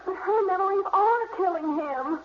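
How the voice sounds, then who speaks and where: A woman's voice: very high-pitched, normal speed. A woman playing a firm, insistent grown daughter in a radio drama. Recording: dull, noisy radio drama recording.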